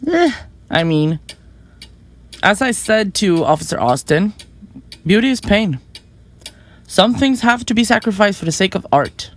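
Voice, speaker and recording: A woman playing a flippant, self-important young murderer in an audio drama, glib and quick to get defensive. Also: mid-range voice, big pitch swings. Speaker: murderous podcaster in a therapy session. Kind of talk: audio drama acting, conversational.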